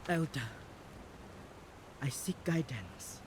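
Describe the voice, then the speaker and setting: Proud, slow-spoken warrior priestess. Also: female voice, rumbling low, sing-song, noisy background, heavily acted. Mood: troubled, solemn. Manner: measured, imperious, solemn. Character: proud, commanding sea-goddess priestess, stern warrior. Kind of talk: video game cutscene acting. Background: music.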